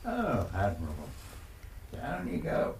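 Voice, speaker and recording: An actor playing an unctuous, self-satisfied British blackmailer in a radio drama, drawling and over-courteous. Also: male voice, lowish voice, wildly swooping pitch, measured pace, darkish tone, a little hiss or hum, heavily acted. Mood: smug, cooing.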